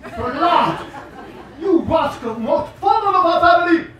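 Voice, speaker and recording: An actor playing a pompous, tyrannical demon king father in a theatrical stage reading, given to explosive shouting. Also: very high-pitched, expressive, measured pace, some chatter behind, heavily acted. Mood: enraged. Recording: very echoey hall, far from mic.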